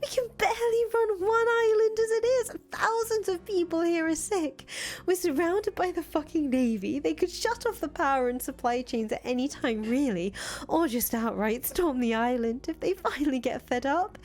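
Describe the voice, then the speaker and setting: A woman's voice: very high-pitched, moderate pace, a little mellow, voice pushed up. A woman voicing an incredulous, sarcastic young woman in a visual novel, exasperated and foul-mouthed. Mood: incredulous, alarmed. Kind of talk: visual novel character voice reading. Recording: music playing behind the voice.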